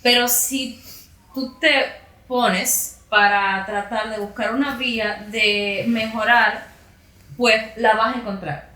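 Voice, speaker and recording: Bright-voiced woman, echoey. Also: fairly high pitch, fairly even intonation, slow, recorded from a distance. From Cuba. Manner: earnest, advising tone. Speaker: guest, emigrant mother. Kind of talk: casual conversation.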